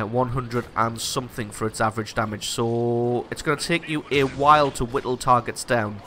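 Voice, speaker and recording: Stuffy guy with a cold, bright punchy voice, chill self-mocking game commentary. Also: mid-range voice, a little hiss or hum. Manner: casual, self-deprecating, explanatory. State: congested from a cold. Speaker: gaming channel host. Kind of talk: gameplay commentary.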